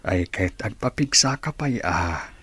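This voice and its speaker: A frank, caring older man in a Filipino radio drama. The voice sits low and is heavily husky, that of a plain-living family man. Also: animated, a little slow, fairly bright tone, no echo, heavily acted. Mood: frank, reassuring. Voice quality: raspy.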